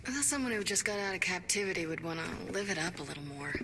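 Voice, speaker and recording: An actress playing a tough gang leader in a video game, talking with dry sarcasm. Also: female voice, lowish voice, expressive, racing, in a large hall, background noise. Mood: casual, wry.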